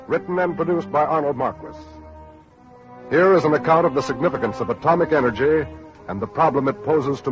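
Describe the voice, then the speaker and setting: Higher-voiced vintage male radio announcer. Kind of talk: formal 1940s network announcing. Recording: muffled old radio broadcast recording. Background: loud music.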